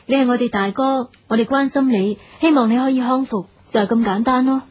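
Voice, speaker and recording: An actress playing a concerned, reasonable younger sister, earnest and conciliatory in a family radio drama. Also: female voice, on the high side, a little fast, close to an everyday voice. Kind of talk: Cantonese radio drama acting. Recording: dull radio drama recording.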